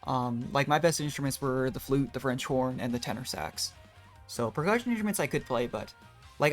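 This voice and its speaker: A streamer giving casual, self-deprecating commentary while playing a video game, with game music in the background. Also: mid-range voice. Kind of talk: casual gameplay livestream commentary.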